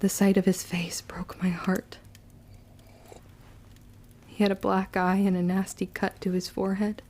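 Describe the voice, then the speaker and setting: Woman playing a slow-spoken, mellow young witch. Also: mid-range voice, level tone, close to an everyday voice. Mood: sorrowful, guilty. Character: young witch Tilla, wounded, vengeful, guilt-ridden narrator. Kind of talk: dramatic audio-drama acting and confessional narration.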